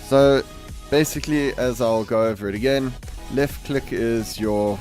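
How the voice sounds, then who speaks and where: A man hosting a gaming video with casual, rambling commentary, halting and correcting himself as he goes. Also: mid-range voice, steady tone, darkish tone, faint music behind. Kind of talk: casual game showcase commentary. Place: voice-over on game capture.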